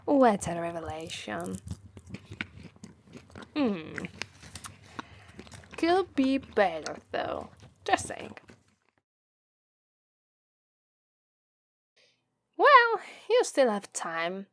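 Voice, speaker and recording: Woman acting a slow, haughty Italian-accented demoness. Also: medium pitch, very animated, pushed and bright, a little hiss or hum, exaggerated voice. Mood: aloof, condescending. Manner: condescending, drawn-out, taunting delivery. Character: haughty, teasing, menacing female demon master. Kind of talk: ASMR roleplay acting.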